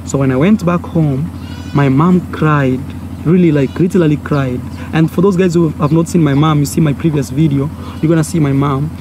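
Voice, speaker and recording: Young Kenyan vlogger, Swahili native, animated storyteller with a fairly high voice, filmed outdoors with clear background noise. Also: male voice, moderate pace. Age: young adult. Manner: animated, acts out voices and gestures. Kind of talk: storytelling to camera. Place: outdoors by a brick wall. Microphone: phone or camera held close. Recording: outdoor noise, village sounds.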